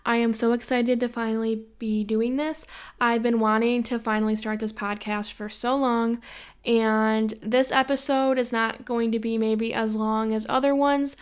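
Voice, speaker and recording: A woman's voice: a little high, flat delivery, moderate pace, smooth. A young woman from Western New York hosting her own podcast in a casual, rambling monologue full of opinions and repeated filler phrases. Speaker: podcast host.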